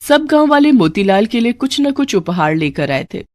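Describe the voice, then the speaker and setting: A woman's voice: a little high, very animated, normal speed, no room sound. A woman narrator giving scripted narration of a cartoon moral story.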